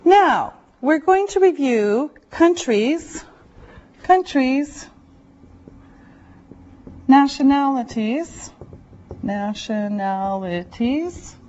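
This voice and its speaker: A woman English teacher speaks slowly and deliberately, her voice sitting a little high. She gives clear, careful directions to a classroom of learners. The recording is muffled and lo-fi. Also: expressive, a little mellow. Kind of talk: classroom instruction.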